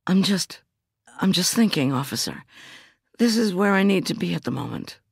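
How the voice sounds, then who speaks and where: A woman's voice: lowish voice, very animated, moderate pace, gravelly, husky, no room sound, clean studio sound, pitched above the performer's own voice, exaggerated voice. A woman voicing a shaken, stubborn female prosecutor in an audiobook, insistent and clipped. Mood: shaken, resistant. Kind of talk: audiobook character dialogue.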